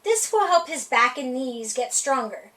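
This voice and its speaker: Woman, storytime reader, high voice, very lively pitch, playful read-aloud, distant from the mic. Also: unhurried. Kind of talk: reading a children's picture book aloud.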